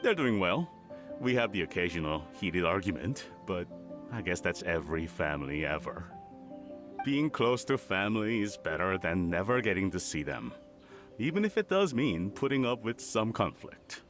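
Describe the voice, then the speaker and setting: An actor playing a friendly, thoughtful villager in a video game, calm and reassuring. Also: male voice, low-pitched, moderate pace, music in the background. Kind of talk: game voice acting.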